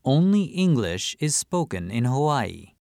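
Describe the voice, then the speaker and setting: A man reading listening-test statements in a deliberate, measured way, recorded on a close studio mic. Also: mid-range voice, very animated, gravelly, a little mellow, no room sound. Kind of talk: slow scripted reading.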